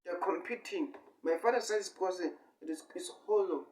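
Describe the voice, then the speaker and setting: Young man, high-voiced, distant mic. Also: darkish tone, slight background noise. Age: 20s. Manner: halting short phrases, repetitive, emphatic bursts. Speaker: amateur online speaker. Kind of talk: rambling improvised monologue. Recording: distant microphone.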